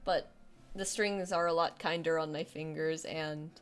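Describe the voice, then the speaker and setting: A woman's voice: sits low, steady tone, a little slow, faint music behind. A young woman with a youthful, girlish voice, chatting casually on a live stream, rambling and self-deprecating with lots of restarts and fillers.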